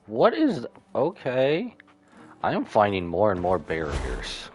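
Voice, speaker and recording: A man's voice: on the low side, huge pitch swings, slow pace. A man livestreaming a video game with casual commentary, musing aloud to himself as he plays. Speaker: livestreaming gamer. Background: game music.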